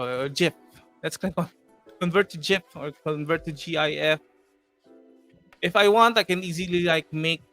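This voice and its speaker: Filipino accented guy walking viewers through an app, bright slightly high voice, lots of likes and ums, faint music under. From Philippines. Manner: chatty, filler-heavy, joking asides. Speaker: host. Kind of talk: live screen-share tutorial.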